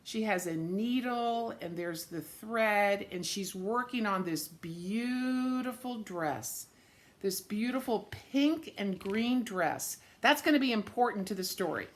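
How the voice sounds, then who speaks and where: A woman reading a picture book aloud to young children, with gentle, simple explanatory asides. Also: medium pitch, expressive, slow pace.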